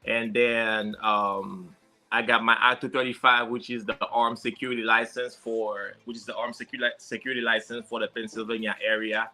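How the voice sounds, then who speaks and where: A man's voice: lowish voice, flat delivery, moderate pace, sharp tone. A man from Haiti with a heavy Haitian accent, a security consultant talking in a casual interview, hesitant, with many fillers and restarts.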